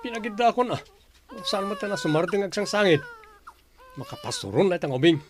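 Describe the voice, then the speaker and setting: A man playing a cruel, irritable young partner in an audio drama, gruff and scolding, with an Ilocano Filipino accent. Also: high-pitched, very animated, fairly quick. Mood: annoyed, scornful. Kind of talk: amateur audio drama acting.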